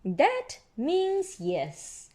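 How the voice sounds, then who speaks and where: Woman doing a bossy, lively little lamb girl. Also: high voice, very slow, voice pushed up, exaggerated voice. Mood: explaining. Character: bossy, playful little girl sheep in a children's storybook.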